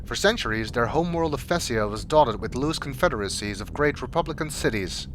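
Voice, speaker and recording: A man reads scripted reference entries aloud as a narrator, in a measured, expository manner. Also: medium pitch, animated, a bit bright, a little hiss or hum. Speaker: video maker narrating game codex entries. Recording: music behind the voice. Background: clear background music.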